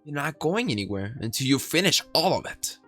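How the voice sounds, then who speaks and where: A man voicing a stern, scolding mother in a game, loud and nagging. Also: medium pitch, sing-song, fairly quick, faint music behind.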